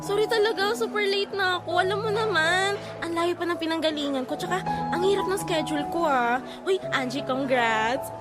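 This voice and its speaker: A woman's voice: very high voice, normal speed. A woman playing a chatty, apologetic young friend in a Filipino audio drama, speaking casual slang expressively. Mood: excited, apologetic. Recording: loud background music.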